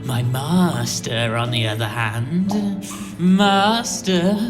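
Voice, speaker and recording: A woman's voice: extremely low pitch, expressive, extremely slow, music in the background, full character voice. A woman playing a sly, servile old male bean seller, a giant's henchman in an audio pantomime. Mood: gloating, oily. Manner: oily, mocking, grovelling before master. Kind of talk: audio pantomime villain acting.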